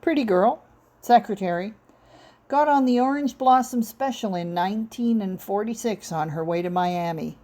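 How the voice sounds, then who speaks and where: A woman's voice: mid-range voice, normal speed, warm tone, close to an everyday voice. Woman playing a folksy old storytelling man. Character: genial old Southern male storyteller, twinkling, seasoned.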